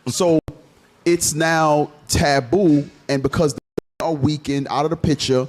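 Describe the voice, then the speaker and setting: A man's voice: fairly high pitch, fairly even intonation, takes it slowly. Assertive man lecturing on a talk show. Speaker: radio show host. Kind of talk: casual group discussion.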